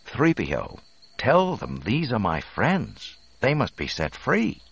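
Man playing an urgent young hero, pitched up. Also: wildly swooping pitch, measured pace, gravelly, husky, exaggerated voice. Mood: commanding. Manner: urgent, ordering. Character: earnest, commanding young male hero.

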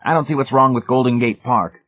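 A man voicing a gruff, suspicious, excitable blusterer with a hoarse edge in an audiobook. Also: on the high side, fast talker, phone-quality sound, voice pushed up. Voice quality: hoarse, croaky. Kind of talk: audiobook character voice.

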